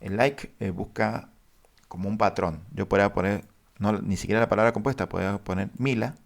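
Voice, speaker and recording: A low, gravelly man walks through a database lesson at his computer. He talks haltingly, with frequent fillers, and addresses his viewers formally. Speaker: database instructor. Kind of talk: screen-share coding lesson.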